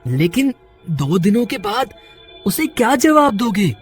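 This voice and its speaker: A man's voice: sits high, very animated, a little slow, slightly rough, faint music behind, heavily acted. A man voicing an anxious, helpful village husband and friend in a horror cartoon, earnest and urgent. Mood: concerned. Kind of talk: Hindi horror cartoon character voice.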